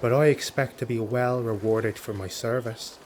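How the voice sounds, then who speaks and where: Man voicing a brisk, shrewd she-elf first mate. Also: mid-range voice, fairly even intonation, darkish tone, a little hiss or hum, lightly acted. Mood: frank, bargaining. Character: hard, shrewd female dark elf sailor, first mate.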